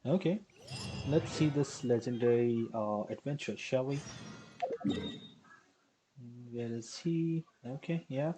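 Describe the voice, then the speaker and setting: A man's voice: mid-range voice, unhurried, noisy background. A man giving casual live gameplay commentary as a streamer, muttering short reactions and questions to himself. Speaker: game streamer. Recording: game music behind. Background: game music.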